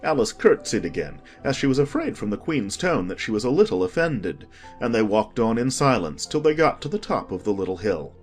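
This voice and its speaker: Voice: mid-range voice, very animated, a little fast, hardly any pauses, a little mellow, soft background music. An audiobook narrator reading a scripted children's story.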